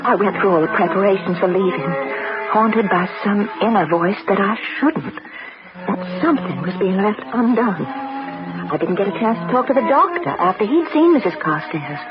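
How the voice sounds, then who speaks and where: A woman's voice: mid-range voice, expressive, normal speed, close to an everyday voice. An actress playing a troubled, inquisitive young woman in an old-time radio drama, earnest and dramatic in her delivery. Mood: uneasy, reflective. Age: young adult. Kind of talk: old-time radio drama acting, dialogue and first-person narration. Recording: dull old radio recording with loud music.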